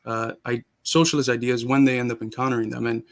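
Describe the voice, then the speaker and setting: A man's voice: medium pitch, level tone, quick pace. A young man, born in Cuba and raised in Miami, talking earnestly as a guest in a casual interview, with frequent restarts. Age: young adult.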